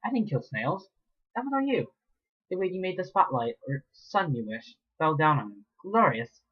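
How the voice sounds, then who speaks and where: A man's voice: a little high, big pitch swings, moderate pace, pitched above the performer's own voice. A man doing a cruel gloating mare mayor. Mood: gloating menace. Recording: dull lo-fi recording.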